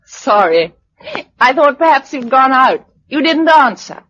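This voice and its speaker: A woman's voice: sits high, big pitch swings, unhurried, a bit bright, exaggerated voice. An actress playing a spirited, well-bred young woman in a radio drama, with an upper-class British accent and refined, playful delivery. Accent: upper-class British English. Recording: dull, muffled old radio recording.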